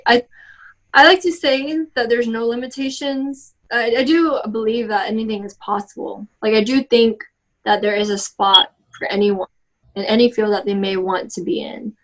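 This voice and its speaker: A woman's voice: on the high side, steady tone, normal speed, fairly bright tone, rough recording. A young woman in her twenties from Texas, answering oral history interview questions casually with many "like" and "um" fillers and restarts. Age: 20s.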